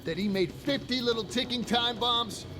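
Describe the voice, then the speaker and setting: A man's voice: very high voice, moderate pace, over music. An actor playing a cynical, sarcastic man infected and facing doom in a video game cutscene, blunt and questioning. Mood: shocked.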